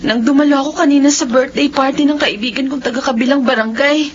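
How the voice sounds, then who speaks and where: A woman with a Filipino accent playing a timid, polite young woman, speaking haltingly in a radio drama. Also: high-pitched, fairly even intonation, lightly acted. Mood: troubled, apologetic. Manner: halting, hesitant. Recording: muffled lo-fi radio audio, clear noise.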